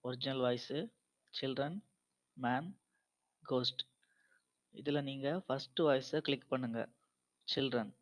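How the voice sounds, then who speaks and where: A man's voice: medium pitch, level tone, measured pace, pauses often. A man narrating a step-by-step app tutorial in a plain, informal, instructional way. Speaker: tutorial host.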